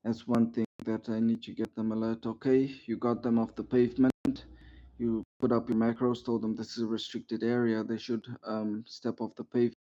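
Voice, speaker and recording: Brief: male game-squad trainer, monotone and mellow, formal with "sir", muffled mic. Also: medium pitch, normal speed. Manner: instructive, formal, ends lines with "sir", many "um"s. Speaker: military unit trainer in a roleplay game. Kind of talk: giving a trainee feedback over game voice chat.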